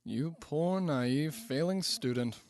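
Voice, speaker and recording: A man's voice: fairly high pitch, animated, takes it slowly, darkish tone. A man acting a pompous, reckless physics professor in a comedic radio drama, lecturing grandiosely. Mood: condescending, boastful.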